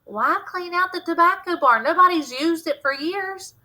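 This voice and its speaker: A woman's voice: extremely high pitch, normal speed, bright, forceful tone, pitched above the performer's own voice. A woman reading a storybook as an anxious, put-upon Southern boy who pleads with his mother. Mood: dismayed pleading.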